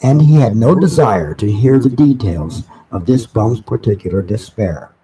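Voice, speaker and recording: A man reading a novel aloud live as dramatic narration, with deliberate, halting phrasing. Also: on the low side, expressive, crowd noise. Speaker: reader of an audiobook. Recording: noisy amateur live recording with background ambience. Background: background ambient sounds.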